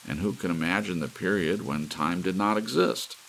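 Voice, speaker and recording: A man voicing a brisk, self-assured scientist in his sixties from a storybook, emphatic and lecturing. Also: sits low, vocal fry, fairly bright tone, a little hiss or hum, voice pushed up, poor audio. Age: 60s. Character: brisk, brainy elderly male scientist, intent and self-assured.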